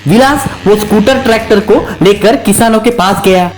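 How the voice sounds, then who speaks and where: A storyteller delivers a scripted tale in narration. The voice sits at a medium pitch. Music plays behind it. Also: moderate pace. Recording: music under the voice. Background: background music.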